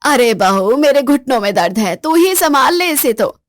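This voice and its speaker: A woman's voice: sits high, sing-song, moderate pace, no room sound, studio quality. A woman voicing a stern, bossy older mother-in-law in a spoken story, scolding bluntly.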